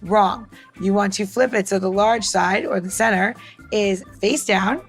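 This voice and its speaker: A woman's voice: mid-range voice, measured pace, faint music behind. A chatty woman co-hosting a podcast, talking casually and directly to the listener as if demonstrating. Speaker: podcast co-host giving bar tech tips.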